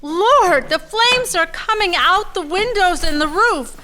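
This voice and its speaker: Radio drama wife, played by a woman: opinionated, indignant, high pitched with lively swings. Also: slow pace, noisy background, full character voice. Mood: startled. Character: opinionated, disapproving hotel guest, a wife.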